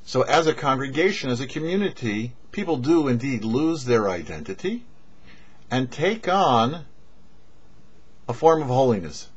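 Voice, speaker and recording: A middle-aged man, a rabbi, giving a short religious teaching in a measured, explanatory way with deliberate pauses. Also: mid-range voice, big pitch swings, slightly rough. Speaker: rabbi and show host. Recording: dull, lo-fi webcam-style audio.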